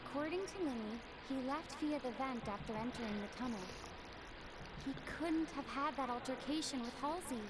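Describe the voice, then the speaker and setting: A woman playing an earnest young defence advocate in a video game, making each point deliberately and assertively. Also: high-pitched, very noisy, close to an everyday voice. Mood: firm.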